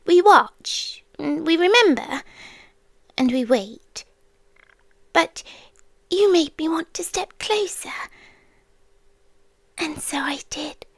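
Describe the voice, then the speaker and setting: A British woman playing an ancient, possessive female creature in an intimate ASMR roleplay, speaking softly and teasingly with deliberate pauses and drops into whispers. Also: high-pitched, expressive, dry recording, exaggerated voice. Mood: musing, teasing. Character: ancient female stalker creature, possessive, watchful, tenderly menacing. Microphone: close microphone, dry.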